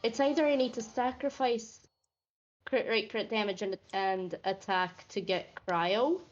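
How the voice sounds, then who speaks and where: A woman in casual gaming chat, halting as she thinks aloud over a lo-fi voice call. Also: medium pitch.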